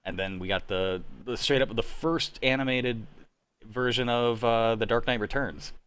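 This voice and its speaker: A man's voice: mid-range voice, fairly even intonation, moderate pace, a bit bright, smooth. A young adult man chatting casually as a panelist, giving enthusiastic, opinionated fan commentary. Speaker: panelist on a livestream. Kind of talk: casual chat about cartoons and TV.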